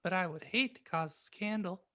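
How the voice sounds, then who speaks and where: A woman voicing a sweet, devout eleven-year-old schoolgirl who speaks softly and primly, in a podcast reading of fan fiction. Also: fairly low pitch, fairly quick. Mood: prim kind.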